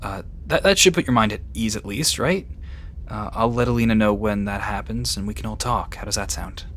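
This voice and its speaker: A man's voice: lowish voice, a little fast, fairly bright tone, natural voice. A man playing a kind, slightly awkward vet tech in an audio drama, reassuring but hesitant. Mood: hesitant, helpful. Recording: faint background noise and ambience.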